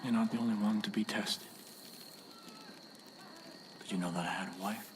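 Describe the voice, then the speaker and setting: Actor as low, solemn cult preacher. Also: male voice, fairly even intonation, moderate pace, a little hiss or hum, natural voice. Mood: solemn, tender. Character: solemn, devout male cult preacher with unsettling calm. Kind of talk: confessional game cutscene monologue.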